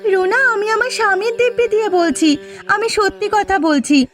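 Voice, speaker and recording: Cartoon role: imploring, remorseful village wife female actor, extremely high voice, melodramatic delivery. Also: animated, moderate pace, fairly bright tone. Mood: earnest, imploring. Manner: earnest, imploring.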